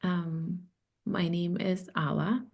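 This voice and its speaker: Slow, gravelly-voiced woman facilitator. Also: on the low side, flat delivery. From Russia. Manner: slow and soft, many pauses and ums. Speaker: circle facilitator. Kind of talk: guiding an online sharing circle. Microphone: video call.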